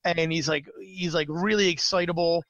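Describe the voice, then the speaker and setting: A man's voice: fairly high pitch, steady tone, moderate pace, fairly bright tone, no echo, muffled recording. A man, a small business owner, chatting as a podcast guest, rambling with lots of fillers and restarts. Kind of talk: casual interview chat.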